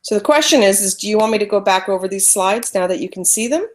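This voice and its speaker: A woman's voice: medium pitch, expressive, fast talker. A woman hosting a live photography webinar, chatting through screen-sharing trouble on a computer mic. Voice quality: loud voice. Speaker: webinar presenter teaching photography. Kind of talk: casual live webinar teaching.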